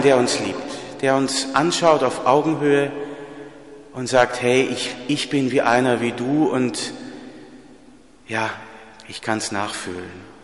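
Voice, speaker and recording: A man preaching a sermon in a plain, earnest way, with a slight stutter and repeated words. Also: medium pitch. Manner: earnest, plain-spoken, rising to emphatic peaks. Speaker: priest. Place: church. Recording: echoey church hall.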